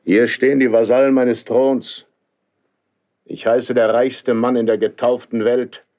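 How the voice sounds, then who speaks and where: A man's voice: mid-range voice, little pitch movement, a little slow, natural voice. An actor playing a jealous, imperious older king, cold and commanding, in a classical radio drama. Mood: proud, brooding. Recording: dull 1970s radio recording.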